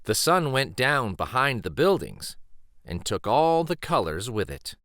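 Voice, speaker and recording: A man reading a children's picture book aloud in an expressive, deliberate storytelling style. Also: mid-range voice, dry recording, studio quality. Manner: deliberate, animated.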